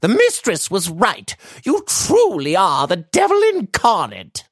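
A man's voice: high voice, wildly swooping pitch, measured pace, breathy edge, no echo, studio quality, voice pushed up, heavily acted. A man voicing a stern older lady's maid who scolds her master. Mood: horrified.

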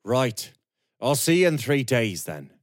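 A man voicing a grim young man bent on revenge, speaking low, calm and controlled. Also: measured pace, dry recording, studio quality.

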